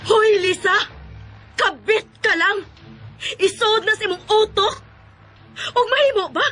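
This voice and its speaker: A woman's voice: very high-pitched, a little slow, pushed and bright, soft background music, exaggerated voice. A woman with a Cebuano accent acting a devoted, melodramatic wife and mother in a Bisaya radio drama. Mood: furious. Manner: emotional, melodramatic. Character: devoted wife and mother, explosive when betrayed.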